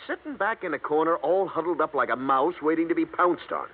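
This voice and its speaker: An actor playing a wry, chatty insurance agent in a 1950s radio drama. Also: male voice, a little high, fairly quick, natural voice. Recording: tinny lo-fi 1950s radio broadcast.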